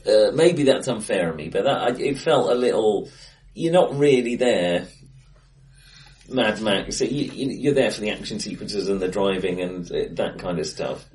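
A man co-hosting a casual film discussion, hesitant and full of restarts, a few drinks in, recorded face to face in an acoustically bright room. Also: fairly low pitch, normal speed. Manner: hesitant, many ums and restarts, laughs often. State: a few pints in. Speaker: podcast co-host.

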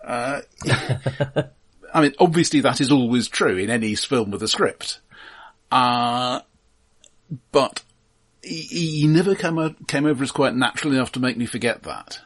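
A man's voice: medium pitch, a little slow, pauses often, breathy edge. A man co-hosting a podcast, giving wry, opinionated views in a casual film discussion. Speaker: podcast co-host.

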